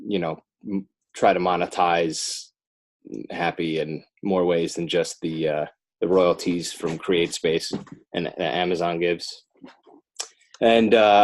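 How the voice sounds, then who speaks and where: A low-pitched man with an American accent talks as a guest in a casual interview. He rambles a little, backs up to correct himself and pieces his answers together in a halting way. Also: a little mellow. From Northern New Jersey, United States. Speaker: podcast guest, children's book author. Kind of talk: casual podcast interview chat.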